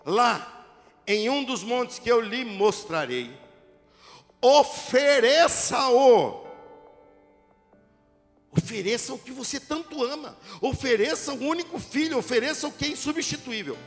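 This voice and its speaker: A middle-aged pastor with a notably high voice, preaching in a dramatic way that climbs into shouts and then drops back to teasing asides to his congregation. He is recorded in a church sanctuary with a long, echoing reverb, and soft music plays faintly behind him. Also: expressive, a little slow, long pauses. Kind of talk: sermon. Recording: echoey church hall with music.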